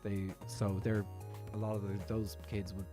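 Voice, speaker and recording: A man's voice: fairly low pitch, little pitch movement, normal speed, over music. A man with a Dublin Irish accent, rambling casually as he chats while gaming. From Ireland. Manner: rambling, talks while playing. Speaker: streamer.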